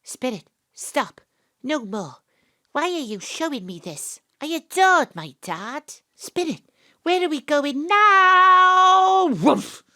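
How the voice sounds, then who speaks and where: A spirited Welsh woman in an audio play, with a high, bright voice that leaps about in pitch. She is emphatic and exclamatory, plain-spoken and expressive. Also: takes it slowly, full character voice. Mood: troubled. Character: spirited, plain-spoken woman revisiting her childhood, also as child. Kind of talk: audio drama acting.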